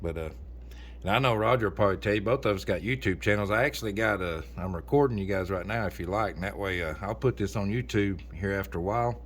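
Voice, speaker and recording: A low, deep male voice rambles along in a folksy, casual way, like a radio hobbyist talking with friends. There is a faint layer of noise behind him. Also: fast. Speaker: amateur radio operator recording for a video channel. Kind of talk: casual chat over ham radio.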